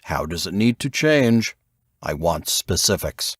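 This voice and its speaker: Male reader as aging spy mentor for an audiobook: low, stern, deliberate, commanding, always probing. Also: very animated, husky, no echo, clean studio sound, heavily acted. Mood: stern, challenging. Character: stern, probing old male intelligence veteran. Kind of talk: audiobook character dialogue.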